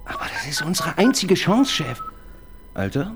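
An actor playing an eager, pedantic police assistant in a radio crime drama, prone to hesitant restarts. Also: male voice, sits high, very animated, moderate pace, music in the background.

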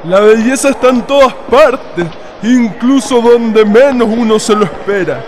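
Very high-pitched idealistic young poet, acted by a man. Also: lively intonation, a little slow, noisy background. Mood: poetic, admiring. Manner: declamatory, florid. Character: idealistic, poetic young male writer founding a colony. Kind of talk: radio drama acting. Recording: music behind the voice.

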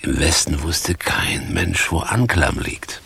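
An actor playing a theatrical tramp, a washed-up stage actor, slow and declamatory with a husky, creaky voice in a radio drama. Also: male voice, rumbling low, sharp tone, exaggerated voice. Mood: deadpan reading. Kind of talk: 1980s German radio drama acting.

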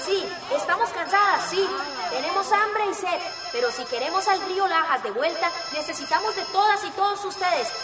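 An actress as a defiant woman protest leader with a very high voice, declaiming to rally her village in a radio drama. Her voice rings out from a distance with long echo over a loud crowd. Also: animated, moderate pace, over loud music. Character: fiery woman activist leading a village road blockade.

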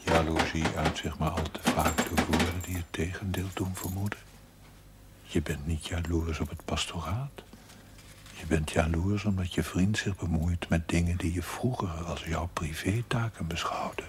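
Guy doing a sly whispery inner voice, deep, needling little asides, 70s tv drama. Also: fairly even intonation, normal speed, background noise, close to an everyday voice. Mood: insinuating. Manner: insinuating, mocking asides. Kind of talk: 1970s television drama acting.

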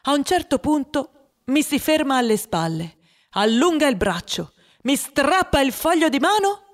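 High-voiced, dreamy little boy. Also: sing-song, a little slow. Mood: wistful, confiding. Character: wistful, dreamy overweight young boy telling his story. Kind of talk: radio drama monologue in Italian.

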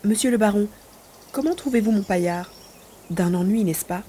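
Mellow-voiced romantic spinster, acted by a woman. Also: medium pitch, normal speed, slight background noise, natural voice. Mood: wistful. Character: romantic, bored provincial spinster, wistful and dramatic. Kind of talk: French audio drama dialogue.

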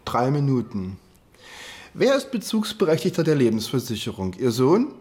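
A man's voice: medium pitch, huge pitch swings, moderate pace, a little mellow. A man playing a nosy, dogged amateur investigator in a radio drama, with a blunt, insistent, probing manner. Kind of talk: naturalistic radio drama acting.

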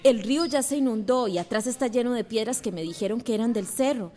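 A determined young schoolteacher with a fairly high voice, played by an actress. She is earnest and insistent, asking questions without backing down. The delivery is radio soap opera acting. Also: fast, warm tone, natural voice.

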